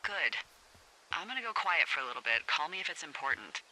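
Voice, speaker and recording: Game role: candid, teasing woman fire lookout, very bright forceful voice over two-way radio, faint noise. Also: low voice, sing-song, fairly quick, exaggerated voice, low-quality recording. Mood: evasive. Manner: wry, conversational, teasing. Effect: walkie-talkie radio. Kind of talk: naturalistic video game dialogue.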